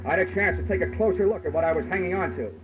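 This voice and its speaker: An actor playing a wry, hard-boiled insurance investigator, wisecracking and dry, in old-time radio detective style. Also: male voice, high-pitched, rapid-fire, close to an everyday voice. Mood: wry narrating. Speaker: lead actor and narrator. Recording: dull vintage radio recording with music.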